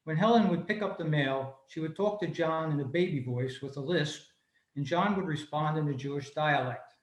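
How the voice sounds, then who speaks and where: Male club presenter, slightly high-pitched, reading prepared notes on a video call from an echoey room. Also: moderate pace. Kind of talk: scripted informational talk, read aloud. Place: room, remote video meeting.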